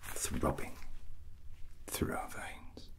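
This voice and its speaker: A man playing a drunken, proud and menacing rogue prince in an ASMR roleplay, whispering slowly and deliberately in ornate language with long pauses. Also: a bit bright. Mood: tipsy, confiding. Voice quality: low breathy whisper. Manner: slow, deliberate, pausing, ornate wording.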